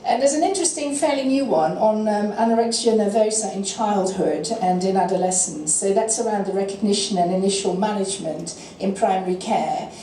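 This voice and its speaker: A woman presents to a room in a friendly, brisk way. Her voice is mellow and sits a little away from the microphone. The room adds an echo, with a faint hiss underneath. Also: medium pitch. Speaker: network lead presenting. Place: lecture room. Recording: roomy room sound picked up from a distance.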